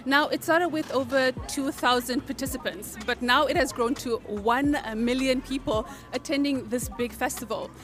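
A woman's voice: high voice, normal speed, few pauses, a bit bright. A woman presenting a festival report as host, upbeat and enthusiastic. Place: outdoor street festival. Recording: festival music in the background. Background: music.

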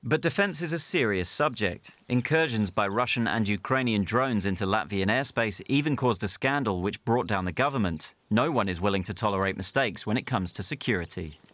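A man reading scripted documentary news narration in a measured, even delivery. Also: fairly low pitch. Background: music bed.

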